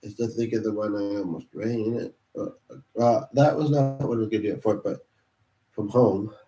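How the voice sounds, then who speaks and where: A man who is sick and congested, chatting alone on a livestream in a halting way, full of pauses and restarts. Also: on the low side, fairly even intonation, mellow, recorded from a distance. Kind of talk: rambling solo livestream chat. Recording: roomy sound from off-mic.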